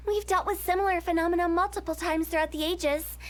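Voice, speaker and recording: A woman's voice: very high-pitched, fairly even intonation, moderate pace. A woman voicing a playful young female funeral parlor director in a video game, breezy and teasing as she explains things. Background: game music.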